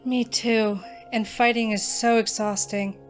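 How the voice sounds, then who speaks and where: A woman's voice: a little high, little pitch movement, a little slow, soft background music, lightly acted. An actress playing a practical young female student with magic powers who talks earnestly and keeps proposing plans.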